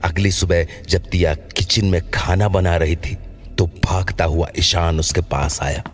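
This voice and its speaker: A man with a very low-pitched voice narrates a scripted horror story. Clear background music plays behind his storytelling. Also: measured pace.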